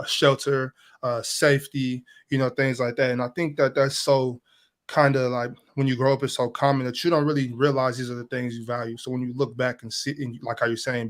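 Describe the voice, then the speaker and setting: Chill host guy, slangy, stumbles and repeats himself, mid pitch. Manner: relaxed, slangy, repeats words while searching. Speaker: interviewer and show host. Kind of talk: casual interview.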